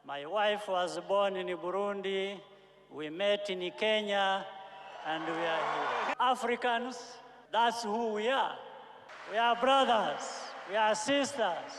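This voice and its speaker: A man from Uganda giving a rousing, emphatic speech with dramatic pauses. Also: high voice, background noise. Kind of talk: impassioned speech. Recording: echoey, reverberant hall.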